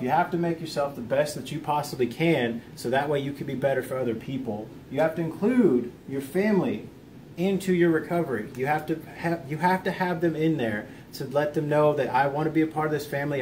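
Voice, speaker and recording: A man's voice: medium pitch, a little mellow, a little hiss or hum. An American man giving an informal instructional vlog talk in an earnest, plain-spoken, encouraging manner. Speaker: video host teaching self-help lessons.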